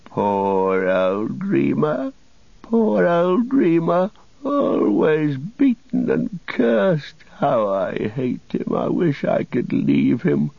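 A man voicing a cringing, miserable beggar servant with a slow, self-pitying whimper in an audiobook. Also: very animated, gravelly, no room sound, phone-quality sound, heavily acted. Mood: wretched, self-pitying. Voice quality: whimpering, higher-pitched.

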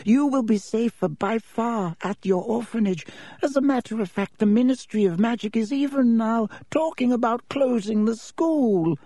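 A feeble, quavering old wizard. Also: male voice, very high voice, dark tone, no room sound, voice pushed up. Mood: grave. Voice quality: thin, quavering. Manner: slow, fussy and kindly. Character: frail, feeble old male wizard headmaster. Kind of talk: audiobook character voice.

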